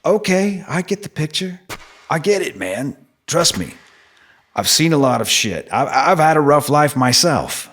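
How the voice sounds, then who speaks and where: An actor playing a blunt, needling, foul-mouthed streetwise stranger who lives in the subway, in an audio drama. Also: male voice, on the high side, very animated, measured pace, a bit bright, husky, full character voice. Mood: conciliatory, frank. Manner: blunt, profane, probing.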